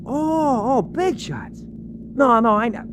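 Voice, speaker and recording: An American actor playing an excitable, streetwise cab driver with colloquial speech in 1940s radio drama style. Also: male voice, slow pace, heavily acted. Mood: casual, wry. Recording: noisy old radio, vehicle rumble.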